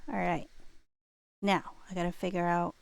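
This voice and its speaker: A woman's voice: on the low side, little pitch movement, moderate pace, creaky, smooth, no echo. A woman hosting a livestream, chatting casually and playfully with viewers in a rambling way. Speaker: livestream host. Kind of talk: casual chat with live viewers.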